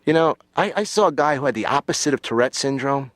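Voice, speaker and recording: A wry cartoon patient, voiced by a man, tells jokes at a middle pitch. His delivery is casual, with jokes that turn on the last word. The recording is dry. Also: expressive, quick pace, a bit bright, no room sound, close to an everyday voice. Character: comedian patient on the therapy couch.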